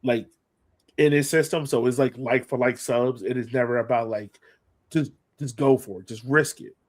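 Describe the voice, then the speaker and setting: A man's voice: medium pitch, steady tone, moderate pace. Man chatting casually as a podcast host. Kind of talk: casual fan commentary and chat.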